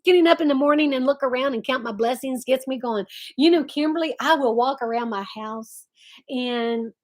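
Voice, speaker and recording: Lady, middle aged, highish voice, folksy effusive livestream host chatting to viewers. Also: normal speed, a little mellow. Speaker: host of a live chat. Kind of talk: casual live-stream chat.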